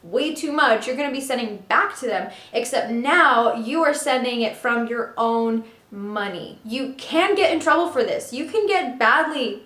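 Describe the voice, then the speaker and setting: A woman's voice: on the high side, reverberant. Sarcastic young woman vlogging at home. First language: Russian and Ukrainian. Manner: sarcastic, animated, mocking asides. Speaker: artist and vlogger. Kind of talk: casual vlog, reading emails aloud. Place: home room, indoors.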